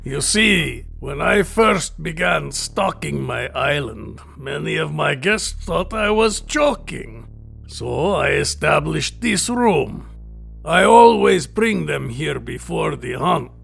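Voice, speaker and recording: A sinister gentleman hunter for an audio drama, with a gravelly, sharply projected voice. His pitch sits fairly high and swoops theatrically as he delivers courtly, condescending menace. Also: very animated, slow, faint music behind, heavily acted. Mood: suavely menacing. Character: genteel, sinister male villain, sadistic aristocratic big-game hunter.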